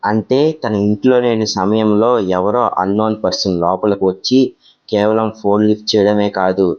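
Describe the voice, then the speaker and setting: A man's voice: lowish voice, darkish tone, no echo, dull audio. A man narrating a horror story as the host of a storytelling channel.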